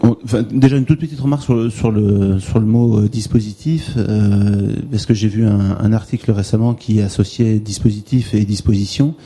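A man answers a question at an academic discussion, pausing on many fillers. His voice is low, gravelly and very dark. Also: steady tone.

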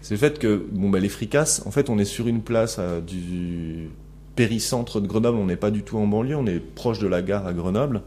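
A middle-aged man teaches a class in a low, mellow voice. He talks haltingly, restarting his phrases and filling pauses as he puts questions to the room. A faint hum sits under the recording. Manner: hesitant, many fillers and restarts, asks the class questions. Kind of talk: classroom lecture analyzing a recorded interaction.

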